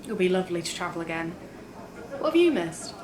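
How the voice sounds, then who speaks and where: A woman's voice: lowish voice, very animated, brisk, a crowd in the background. A woman playing a casual, curious young friend in an audio drama, informal and inquisitive. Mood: relaxed, friendly. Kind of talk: naturalistic audio drama dialogue. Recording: echoey room with faint noise.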